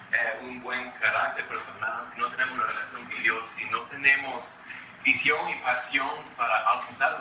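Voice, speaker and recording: Man, American accent, very bright voice, quick with lots of restarts, roomy far-off sound. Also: mid-range voice, slight background noise. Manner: fast-talking, frequent restarts and repeated words. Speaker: children's ministry trainer. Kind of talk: training talk to church workers. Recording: echoey room from far off.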